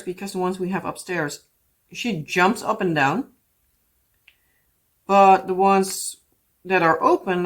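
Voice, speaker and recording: A woman with a Dutch accent, a native Dutch speaker, chatting casually in a rambling way as a craft vlogger. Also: mid-range voice. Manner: rambling, full of "like" and "yeah". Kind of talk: casual chat.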